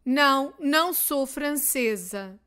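A woman teacher with a European Portuguese accent, reading simple phrases twice each, clearly and deliberately, for learners. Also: high voice, lively intonation, bright, forceful tone. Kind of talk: slow repeated phrase drills for learners.

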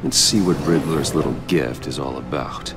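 An actor playing a serious, thoughtful investigator in a video game, pensive and measured. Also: male voice, very deep voice, loud music behind the voice. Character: serious, thoughtful male investigator puzzling out clues. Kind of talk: video game cutscene acting.

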